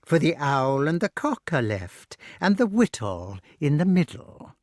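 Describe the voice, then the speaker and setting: A storyteller voicing a gloating old witch, crooning with mock sweetness and menace in exclamatory, drawn-out words. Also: mid-range voice, very animated, a little mellow, no echo, clean studio sound, voice pushed up. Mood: mock-sweet, gloating.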